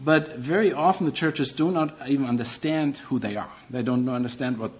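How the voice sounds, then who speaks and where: A man's voice: mid-range voice, moderate pace. German-accented guy preaching, earnest, kinda dull lo-fi sound. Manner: earnest and self-deprecating, with non-native phrasing. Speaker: guest preacher. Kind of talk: sermon.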